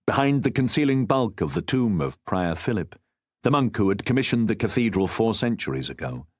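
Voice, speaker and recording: Male audiobook narrator, fairly low voice, measured formal delivery, historical fiction, close dry sound. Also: no room sound. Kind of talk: scripted narration of a historical novel.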